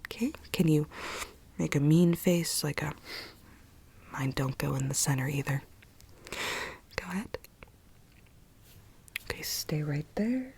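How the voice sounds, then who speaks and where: A woman whispers close to the microphone in an ASMR consultation role-play. Her delivery is breathy, gentle and slow, as if explaining each step to a client. A faint hiss sits behind the low whisper. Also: animated.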